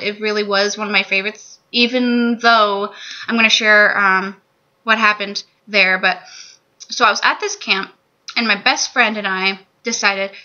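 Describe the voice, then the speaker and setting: A woman's voice: mid-range voice, bright, forceful tone. A woman in her twenties telling a personal story candidly to camera in a small room on a webcam mic. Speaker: vlogger sharing a personal testimony. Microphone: webcam or laptop mic at desk distance. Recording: dull lo-fi webcam audio.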